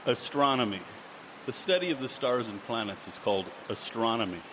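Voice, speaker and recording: An actor playing an older male professor in a live audio drama, answering in a halting, uncertain way. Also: mid-range voice, lively intonation, noisy background, lightly acted. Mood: puzzled.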